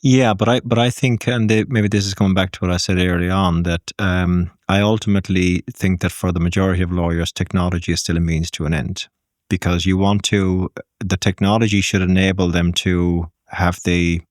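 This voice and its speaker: Low-voiced Irish man, thoughtful and measured. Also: level tone, no echo, studio quality. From Ireland. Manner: measured, thinking aloud with frequent ums. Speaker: guest business executive. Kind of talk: podcast interview conversation.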